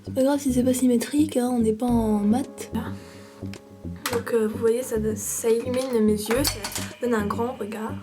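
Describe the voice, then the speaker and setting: A woman with a cold chats through a makeup tutorial. Her voice sits a little high, and she pauses constantly with fillers while keeping a mock-serious, playful tone. Music plays clearly in the background. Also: fairly even intonation. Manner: hesitant, many fillers, playful parody tone. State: stuffy with a cold. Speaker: makeup vlogger.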